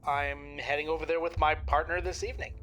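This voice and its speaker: A man acts as a businesslike federal agent in an audio drama. His voice is a bit high and forceful, polite and matter-of-fact, with light music underneath. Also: steady tone, brisk, lightly acted. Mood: calm, official. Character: calm, businesslike male federal wildlife agent. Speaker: special agent. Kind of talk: official phone conversation in audio drama.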